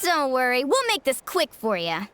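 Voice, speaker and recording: Peppy, high-voiced young fighter. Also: female voice, wildly swooping pitch, moderate pace. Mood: cheerful. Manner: peppy, coaching, competitive. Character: energetic young female fighter, sporty and competitive. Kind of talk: video game voice acting. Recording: clear game battle noise behind.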